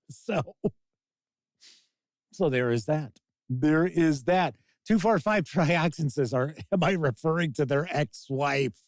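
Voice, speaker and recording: A man's voice: on the high side, slow pace, no room sound, studio quality. A man with a Chicago accent hosting a casual livestream of tabletop gaming news, chatty and jokey as he talks with his chat. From Chicago, USA. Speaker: host.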